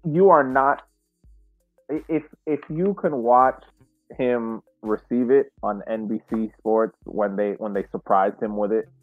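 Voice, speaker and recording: A man co-hosting a sports podcast, talking in an earnest, deliberate, conversational way. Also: medium pitch. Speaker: podcast co-host. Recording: narrow phone-like sound, faint music.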